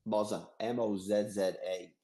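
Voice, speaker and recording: A man's voice: lowish voice, fairly even intonation, a loud crowd. An Irish man in a casual chat, explaining a game in a rambling way and repeating himself often. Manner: rambling, repeats himself, quick to laugh at his slips. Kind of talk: casual video-call chat.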